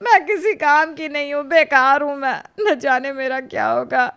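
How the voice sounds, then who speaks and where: A woman's voice: sits high, moderate pace, creaky, a bit bright. A woman voicing a manipulative, tearful elder daughter-in-law in a moral cartoon story, wailing with self-pity. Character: whiny, manipulative elder daughter-in-law who fakes crying.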